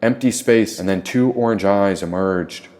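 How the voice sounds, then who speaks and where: Low, mellow man narrating in deep reverb. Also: normal speed. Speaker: audiobook author and narrator. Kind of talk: dramatic first-person story narration. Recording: echoey, long reverb tail.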